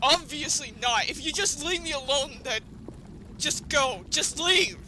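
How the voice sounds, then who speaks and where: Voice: sits high, lively intonation, measured pace, sharp tone, slight background noise, heavily acted. A performer in an audio drama playing a bitter, vengeful young human who accuses with cold intensity. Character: Frisk, furious vengeful young human protagonist turning cold.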